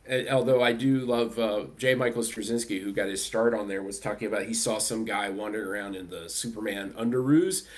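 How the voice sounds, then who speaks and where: A man chatting casually as a fan panelist, enthusiastic and expressive. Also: lowish voice, fairly quick, few pauses. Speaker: panelist at a fan convention discussion.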